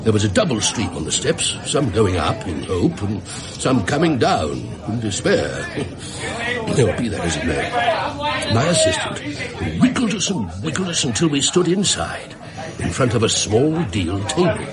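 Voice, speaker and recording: A man's voice: mid-range voice, huge pitch swings, measured pace, crowd noise. An actor playing a talkative, gullible, flustered tradesman in a radio drama, rambling and hesitant as he tells his story. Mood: animated recounting.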